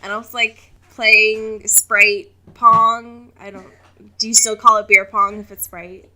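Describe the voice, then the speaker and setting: A woman's voice: mid-range voice, level tone, slow, pushed and bright. A young woman co-hosting a podcast in casual comedic chat, poking fun at herself with playful drama. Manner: self-mocking, playfully dramatic. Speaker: podcast co-host.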